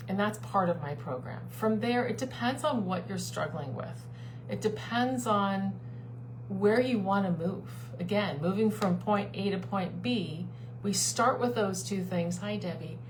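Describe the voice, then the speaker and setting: A woman life coach giving an earnest, persuasive live-streamed talk to her online group, repeating her key phrases. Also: medium pitch. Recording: steady background hum.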